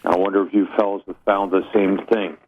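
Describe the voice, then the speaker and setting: A man's voice: sits low, little pitch movement, fairly quick, slight background noise. A man from Pennsylvania phoning in to a radio show for a casual chat over a narrow phone line. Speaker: phone-in caller.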